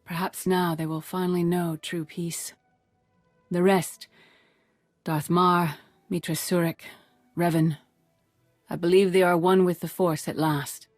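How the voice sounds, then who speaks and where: A woman playing a wise older Jedi master and mother in a video game, speaking in a measured, formal way. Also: fairly low pitch, flat delivery, no room sound, studio quality, soft background music. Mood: reflective. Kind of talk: video game cutscene acting.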